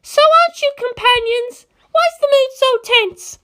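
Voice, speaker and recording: A woman's voice: very high-pitched, unhurried, bright, forceful tone, pitched above the performer's own voice, exaggerated voice. A woman voicing a determined young woman who is emotional and grieving, in an audiobook-style reading of a story. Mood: earnest. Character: tearful, determined, excitable young English woman, grieving a loss.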